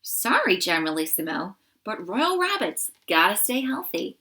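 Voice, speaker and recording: A woman's voice: medium pitch, big pitch swings, moderate pace, bright, forceful tone. A woman voicing a brash, straight-talking female rabbit trainer with an American drawl, bossing her elderly leader around. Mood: bossy. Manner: drawling, bossy.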